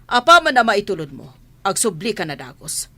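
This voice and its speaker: A woman's voice: mid-range voice, very animated, moderate pace, sharp tone. A woman with an Ilocano accent playing an imperious, scolding rich madam who bosses her servants in a radio drama. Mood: curt, bossy. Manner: haughty, commanding, scolding.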